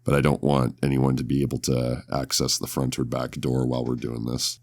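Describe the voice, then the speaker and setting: A man's voice: a bass voice, completely flat delivery, brisk, gravelly, a little mellow, dry recording. A man playing a tabletop roleplaying game, casually talking through what his character will do.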